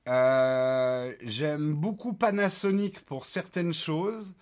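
A man's voice: on the high side, extremely slow. Chatty man hosting a tech livestream. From France, raised in the United States. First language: English. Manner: relaxed, chatty, many fillers, joking asides. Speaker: tech channel host answering live chat questions. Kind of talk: casual livestream chat.

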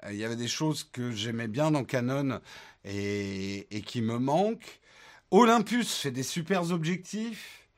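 A man's voice: mid-range voice, very animated, measured pace. A man hosting a casual tech livestream, chatting loosely with many fillers and joking asides as he answers viewer questions; his first language is English, though he was born in France and grew up in the United States. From France, raised in the United States. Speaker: tech channel host answering live chat questions.

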